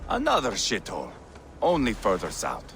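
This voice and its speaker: A gravelly Viking warlord for a game cutscene, sneers with sadistic menace. His pitch lurches dramatically, and the voice rings in a long reverb over noise. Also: wildly swooping pitch, moderate pace, fairly bright tone, full character voice. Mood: contemptuous. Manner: taunting, menacing, theatrical. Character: savage, foul-mouthed sadistic Viking warlord brother. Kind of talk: video game cutscene acting.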